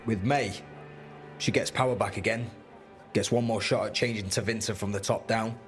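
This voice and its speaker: A man's voice: fairly low pitch, steady tone, normal speed, close to an everyday voice. A man playing a hard-bitten, pragmatic rebel fighter in a fantasy game, blunt and wry. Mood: blunt, firm. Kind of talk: dramatic fantasy game acting. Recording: music under the voice.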